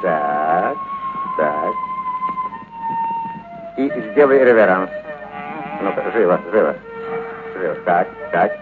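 An actor playing a kindly, eccentric animal trainer who exclaims, coaxes and repeats commands in a theatrical radio play. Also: male voice, sits low, expressive, slow, long pauses, over music. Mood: coaxing, instructing. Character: kindly eccentric animal trainer, bossy yet affectionate with his beasts. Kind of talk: theatrical radio story acting. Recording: muffled narrow-band radio recording.

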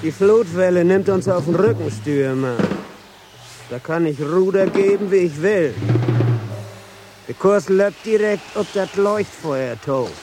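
Ein Schauspieler spielt im Hörspiel einen urigen Rudergänger mit plattdeutschem Einschlag. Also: male voice, high voice, unhurried. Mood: dogged, resigned. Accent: Low German. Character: salty, slow-spoken ship's helmsman. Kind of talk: radio drama acting. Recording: music under the voice.

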